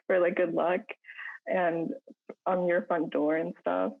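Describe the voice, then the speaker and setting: Husky young woman on a tinny line. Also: on the low side, level tone, slow. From born in Hong Kong, raised in the United States. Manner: laughs between phrases, many fillers. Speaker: podcast co-host. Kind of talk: casual podcast chat.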